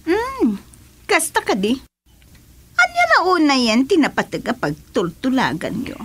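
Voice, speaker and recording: An inquisitive woman in a radio drama, with a highish, husky voice that swings widely in pitch. She has an Ilocano accent. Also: huge pitch swings, a little slow, a bit bright, exaggerated voice.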